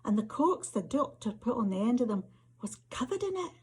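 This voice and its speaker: A woman's voice: on the high side, very animated, fairly quick, darkish tone, breathy edge, full character voice. A woman reading the part of an earnest schoolgirl with a Scottish accent, keen to impress, in a story.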